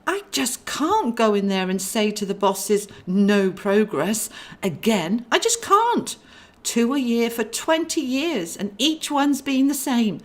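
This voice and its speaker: A woman reader plays a guardian angel in a comic storybook. She is anxious and fretful, pleading in a high voice well above an everyday pitch. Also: very animated, takes it slowly. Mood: desperate. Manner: worried, desperate, repetitive pleading.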